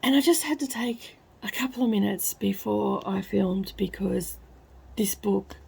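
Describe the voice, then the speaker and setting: A woman with a croaky voice from crying, talking softly at home in a casual book review vlog. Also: medium pitch, measured pace. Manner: hushed, soft-spoken so as not to wake others. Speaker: book vlogger. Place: at home, early morning.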